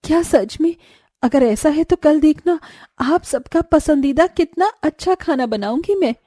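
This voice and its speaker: A woman voicing a gentle, sentimental younger sister-in-law in a cartoon, sweet and earnest. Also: high-pitched, expressive, a little slow, no room sound, clean studio sound. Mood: happy, grateful.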